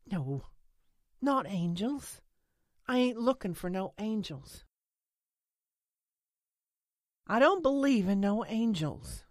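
A woman narrator acts out an anxious rural woman in an audiobook. She is guarded and defiant, with halting, insistent dialect speech. The sound is dry and close. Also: lowish voice, no echo, natural voice. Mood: angry, defiant. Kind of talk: audiobook character acting.

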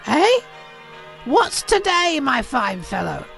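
A woman's voice: high voice, sing-song, a little slow, gravelly, soft background music, voice pushed up, exaggerated voice. A woman voicing an elderly male reformed miser in an audiobook, giddy with joy and earnest, with exclamatory, old-fashioned phrasing. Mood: giddy, excited. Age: older.

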